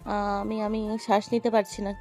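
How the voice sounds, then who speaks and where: A woman's voice: medium pitch, completely flat delivery, normal speed, darkish tone, soft background music, lightly acted. A woman playing a shy, flustered young bride, hesitant and stammering, in an audio romance drama. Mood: nervous.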